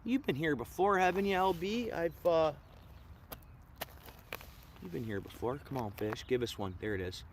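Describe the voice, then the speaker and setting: A man's voice: a little high, animated, moderate pace, pauses often. A Canadian man with an Ontario accent chats casually and in a slangy, laid-back way as he hosts a fishing livestream. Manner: laid-back slangy talk, says dude, man, bro. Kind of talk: casual live chat with viewers. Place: outdoors at a fishing spot. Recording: clear outdoor ambient noise.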